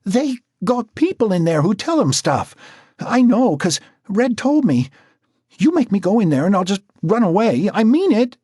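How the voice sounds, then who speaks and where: A scared teenage runaway girl, put on by a male narrator, her voice pushed high and trembling. She stammers and breaks into sobs, the tone husky and breathy. The sound is dry and close with no room around it. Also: big pitch swings, normal speed, darkish tone, dry recording, full character voice. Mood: panicked. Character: frightened teenage runaway girl, tearful and jumpy.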